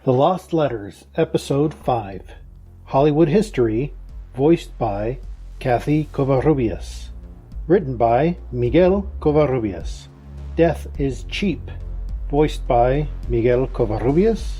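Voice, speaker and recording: A man's voice: medium pitch, expressive, slow, warm tone, husky, poor audio. A man announcing scripted podcast credits, with music behind him. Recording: music under the voice.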